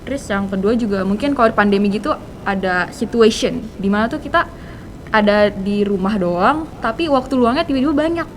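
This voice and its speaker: A woman's voice: medium pitch, expressive, a little fast, slight background noise, rough recording. A teenage girl, a student guest answering casually and dropping in English words. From Indonesia. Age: teens. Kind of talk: casual podcast interview.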